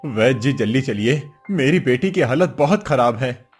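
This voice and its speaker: A man's voice: mid-range voice, animated, moderate pace, slightly rough, faint music behind. A man voicing a poor, desperate and devoted village cobbler, humble and earnest, in a cartoon story. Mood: pleading.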